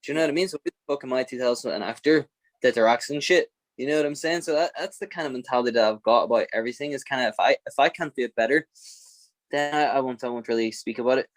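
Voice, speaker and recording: A man's voice: mid-range voice, no echo. A man with an Irish accent, a podcast guest talking bluntly and profanely in a casual interview. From Ireland. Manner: blunt, profane and fiery, quick to laugh.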